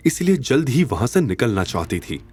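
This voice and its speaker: A man narrating a scripted story in a dramatic, expressive and suspenseful manner. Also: medium pitch, normal speed, slightly rough.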